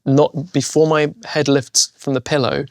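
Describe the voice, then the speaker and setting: A man's voice: on the high side, fairly even intonation, measured pace, no room sound, clean studio sound. A man who is a guest actor on a podcast, answering and asking questions in an earnest, curious way. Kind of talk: interview chat.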